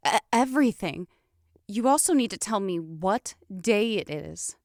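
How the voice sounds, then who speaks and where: An actress playing an earnest, worried but assertive fiancée in a survival horror audio drama. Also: female voice, medium pitch, lively intonation, a little slow, a little mellow, dry recording, studio quality. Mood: concerned, pleading. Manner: earnest, urgent. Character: worried, assertive female fiancée of a quarantined man. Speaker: voice actor.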